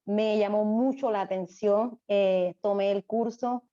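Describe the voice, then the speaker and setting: A woman's voice: mid-range voice, steady tone, unhurried, dark tone, dull audio. A woman student introducing herself over a video call, earnest and grateful, with some fillers. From Colombia.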